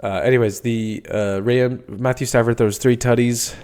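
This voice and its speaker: A man's voice: mid-range voice, steady tone, normal speed, darkish tone, clear, resonant tone. A young man with a congested, stuffy voice, rambling casually through a solo sports podcast with lots of fillers and the odd swear. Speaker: podcast host.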